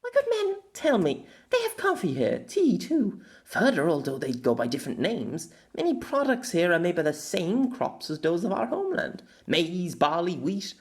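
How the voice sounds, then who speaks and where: A playful young woman hero from a fantasy world, acted out by a man in an amateur audiobook. The pitch is lifted and swoops freely, with grand, archaic wording and a curious, teasing temper. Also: huge pitch swings, normal speed, hardly any pauses, heavily acted. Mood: curious, inquisitive. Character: curious, teasing young heroine from another world, haughty and old-fashioned.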